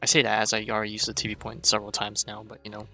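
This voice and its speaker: Gravelly young man thinking aloud. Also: on the low side, completely flat delivery, racing, pushed and bright, faint music behind. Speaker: streamer. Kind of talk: casual gameplay commentary.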